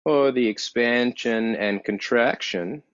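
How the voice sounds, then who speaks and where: A man guiding a yoga meditation as a teacher, speaking calmly and in measured short phrases with pauses. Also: mid-range voice, clear, resonant tone, no room sound. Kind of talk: guided yoga meditation instruction. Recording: dull, muffled lo-fi sound.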